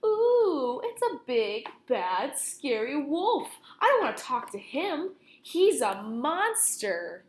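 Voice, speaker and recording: A woman's voice: high-pitched, sing-song, slow pace, hardly any pauses, exaggerated voice. A woman voicing a cocky, indignant male wolf from a storybook, chummy and pushy, talking theatrically straight to the listener. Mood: mock fearful. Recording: roomy, echoing room sound.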